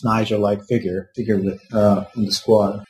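A man with a non-native English accent in casual football talk, measured and halting, with fillers. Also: lowish voice, little pitch movement, a little mellow, low-quality recording.

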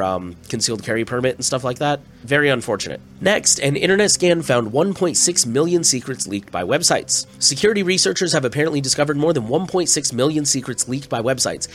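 A man hosting a news podcast, a little scratchy and stuffy-nosed from a cold, reading stories with casual asides. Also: mid-range voice. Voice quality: slightly scratchy. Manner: plain, conversational, with asides. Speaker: podcast host.

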